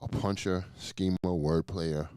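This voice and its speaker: A man's voice: low-pitched, steady tone, unhurried, darkish tone, clean tone, no echo, clean studio sound. A man hosting an interview, asking casual questions with short laid-back reactions.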